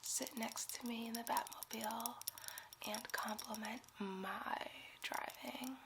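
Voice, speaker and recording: A woman playing a young, giddy, boastful superhero sidekick who schemes and gossips in a breathy whispered ASMR role play. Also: a little high, fairly even intonation, takes it slowly, background noise. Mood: smug confiding. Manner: conspiratorial, gossipy, rambling.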